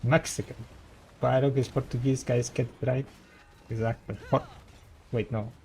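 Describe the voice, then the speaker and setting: A man's voice: medium pitch, flat delivery, a little mellow. Rambling man with a light Russian accent. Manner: rambling, halting, many restarts. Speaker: game streamer. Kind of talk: casual chat with viewers.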